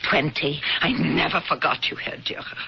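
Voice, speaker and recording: Vengeful survivor, measured and cold, fairly low voice for a woman, vintage radio sound. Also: creaky. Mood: icily accusing. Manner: measured, icy, deliberate. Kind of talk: 1970s radio drama acting. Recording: dull old radio broadcast with hiss.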